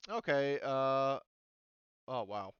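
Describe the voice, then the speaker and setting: Guy game mastering online, smooth voice, kinda dull dry mic, halting as he works out rules. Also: mid-range voice, lively intonation, fairly bright tone. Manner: halting, thinking aloud while working out rules. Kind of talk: casual tabletop game refereeing over online session.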